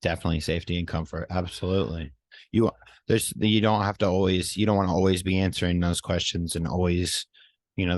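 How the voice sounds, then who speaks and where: Deep-voiced man, casual podcast talk. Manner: supportive, frequent affirming interjections. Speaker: podcast co-host.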